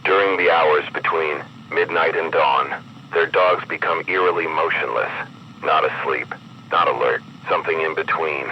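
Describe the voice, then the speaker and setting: A man with an extremely low voice narrates a horror-style emergency broadcast. He is grave and measured, with an ominous announcer's delivery. The sound is dulled, with clear noise humming behind him. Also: highs cut off.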